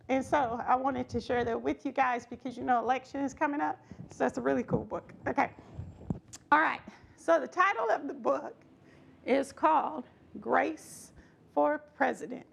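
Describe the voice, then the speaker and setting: A woman's voice: high-pitched, gravelly. A middle-aged woman giving an informal read-aloud storytime with folksy, conversational asides. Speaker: storytime reader, schoolteacher.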